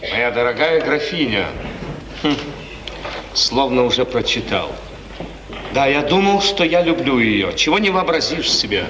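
A man's voice: medium pitch, animated, measured pace, pauses often, fairly bright tone. An actor playing a restless, lovesick prince in 1950s radio theatre, musing aloud theatrically and repeating his phrases. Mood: wistful, brooding. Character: restless lovesick prince of a small feudal state. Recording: old noisy recording, echoey hall, distant.

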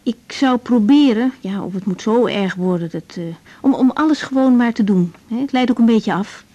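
Actress as a mellow-voiced young woman doctor. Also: a little high, normal speed, lo-fi sound, lightly acted. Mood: calm, reassuring. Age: young adult. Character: young woman doctor, tired, caring, candid. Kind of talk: naturalistic Dutch radio drama acting.

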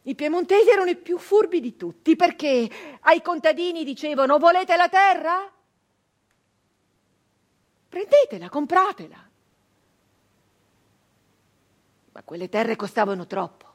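An actress playing a fierce, bitter nineteenth-century female brigand in a dramatic theatrical monologue, intense and deliberate. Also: extremely high pitch, big pitch swings. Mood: scornful mocking. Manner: intense, deliberate, with long pauses, swinging from hushed to outcry. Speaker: stage actress.

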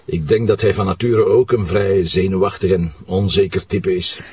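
A blunt Flemish police commissioner in a radio crime drama. His voice is lowish and very husky, heard slightly away from the microphone. He talks in a natural, probing way. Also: steady tone, normal speed, darkish tone, distant mic.

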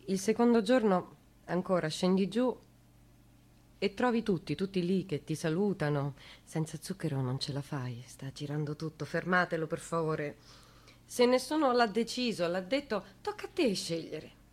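An actress playing a grief-stricken woman in a radio theatre monologue, speaking in fragmented, repetitive phrases that move between hushed and insistent. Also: medium pitch, natural voice. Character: grief-stricken woman reliving days after a loss.

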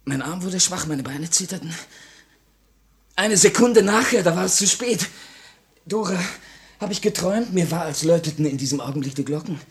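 A young idealist revolutionary in a vintage radio drama. His voice sits a little high for a man, with earnest, emotional, theatrical phrasing. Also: lively intonation, normal speed. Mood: shaken, anguished. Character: anguished, idealistic young Russian revolutionary, honour-bound. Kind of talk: 1950 radio play acting. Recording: vintage 1950 radio recording.

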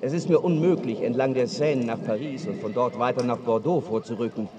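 A man's voice: mid-range voice, brisk, distant mic, lightly acted. An actor as a resolute medieval king, faint noise. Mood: grave, resolute. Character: resolute medieval English king weighing his war campaign. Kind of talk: radio drama monologue. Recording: faint outdoor noise behind.

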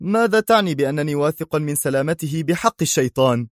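A man voicing an explosive, furious foreign art collector who shouts in a high-strung way. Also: lively intonation, quick pace, no room sound, studio quality, close to an everyday voice. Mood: angry, agitated.

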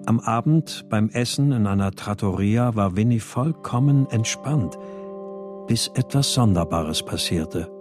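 Brooding low-voiced man in a crime drama. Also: animated, measured pace, over music. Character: troubled husband searching for missing wife and daughter. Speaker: lead actor and first-person narrator. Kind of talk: radio crime drama narration and dialogue.

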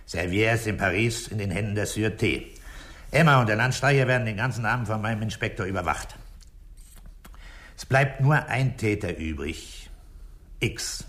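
An actor playing a methodical, dryly impatient police commissioner in a 1960s German radio crime drama, speaking in a measured, authoritative way. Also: male voice, on the low side, fairly bright tone, close to an everyday voice. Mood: firm, pointed. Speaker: police commissioner leading an investigation.

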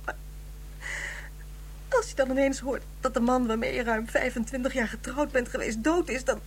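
A woman's voice: sits high, steady tone, normal speed, slight background noise. An actress playing a proud, bitter widow in her fifties, curt and formal, in a radio drama. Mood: subdued grief. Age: 50s.

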